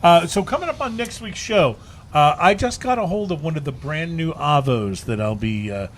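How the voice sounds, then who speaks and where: A man hosting a casual chat show, affable and quick to chime in with agreement. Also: fairly high pitch, lively intonation, slight background noise. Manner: affable, frequent agreeing interjections. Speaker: podcast host. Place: bar, live show.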